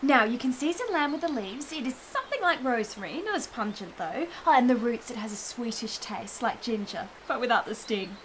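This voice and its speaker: Female actor as coquettish period lady, high-pitched, affectionate, teasing, expressive, theatrical radio play. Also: brisk, few pauses, noisy background, lightly acted. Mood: eager, instructive.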